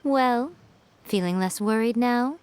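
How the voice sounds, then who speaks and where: A woman's voice: fairly high pitch, slow pace, background noise. A woman playing a sly, self-assured fox spirit in a video game, with a coy, poised and knowing manner. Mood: knowing, amused. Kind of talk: scripted video game voice acting.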